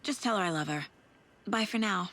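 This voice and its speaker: A woman's voice: mid-range voice, fairly quick, fairly bright tone, a little hiss or hum, natural voice. An actress playing a composed, serious investigator and mother in a naturalistic video game drama. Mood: concerned. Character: composed professional female investigator and caring working mother.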